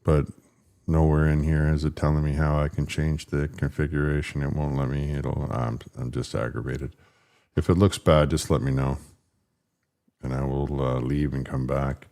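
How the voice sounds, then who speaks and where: Super deep rumbly guy livestreaming, kinda frustrated, rambly casual chat. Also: little pitch movement, normal speed, dark tone. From Canada. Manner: frustrated, rambling about stream settings. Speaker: political livestream host.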